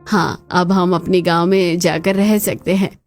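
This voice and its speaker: A woman's voice: fairly low pitch, steady tone, measured pace, a little mellow. A woman voicing a grateful middle-aged village mother in a cartoon story. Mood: hopeful.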